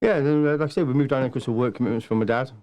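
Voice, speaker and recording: A man's voice: medium pitch, very fast, a little mellow. A man, answering casually as an interview guest in a matter-of-fact, explanatory way. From Nottingham, England. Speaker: podcast guest, martial arts instructor.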